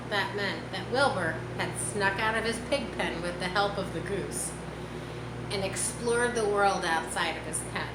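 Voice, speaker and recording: A woman reading a children's story aloud in an unhurried, explanatory manner, with asides spoken directly to listeners. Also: mid-range voice. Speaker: storybook reader. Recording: roomy echo, loud vehicle-like background noise.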